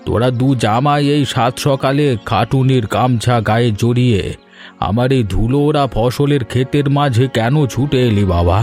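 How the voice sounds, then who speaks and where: A man acts out an elderly Bengali village farmer from a cartoon folk tale. He is humble and sentimental, addressing his family with fatherly affection. His pitch is middling, and music plays softly behind him. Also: moderate pace, natural voice. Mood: gently protesting. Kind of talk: animated folk story dialogue. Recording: music bed under the voice.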